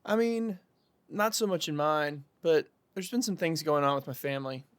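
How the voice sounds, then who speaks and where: An actor playing an earnest young man in a conversational audio drama, explaining himself in a measured way. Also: fairly high pitch, clear, resonant tone, no echo, close to an everyday voice. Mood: troubled, uncertain. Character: earnest young man pleading for patience and trust.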